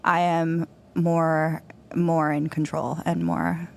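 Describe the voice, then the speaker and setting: A woman's voice: low voice, level tone, slow pace, gravelly, darkish tone. A woman speaking as a podcast guest in casual, slangy, emphatic conversation.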